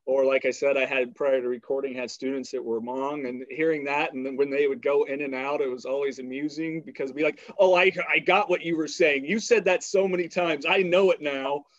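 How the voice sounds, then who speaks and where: A man's voice: medium pitch, fairly even intonation, a little fast, hardly any pauses, dry recording, highs cut off. A man hosting a podcast interview, talking in long rambling turns with blunt, salty language. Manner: rambling, blunt, swears freely. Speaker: podcast host. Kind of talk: casual interview conversation.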